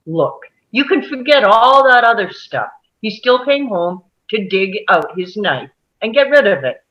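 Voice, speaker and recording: Woman as a bullying male juror, blunt, slowish but animated delivery, confrontational, drama script read. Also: mid-range voice, lo-fi sound. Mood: annoyed.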